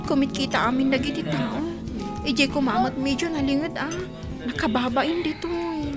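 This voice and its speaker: Woman playing a bashful young barmaid, Filipino accent, high voice, radio drama, loud music behind. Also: fairly even intonation, normal speed, lightly acted. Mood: embarrassed. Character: shy, modest young barmaid, easily embarrassed. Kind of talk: Ilocano radio drama acting.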